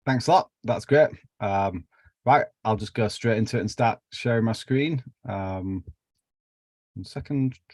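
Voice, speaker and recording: A man's voice: lowish voice, unhurried, a little mellow, dry recording. A man with a broad Yorkshire accent giving an academic seminar talk over a video call, chatty and self-deprecating with many fillers. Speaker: seminar speaker.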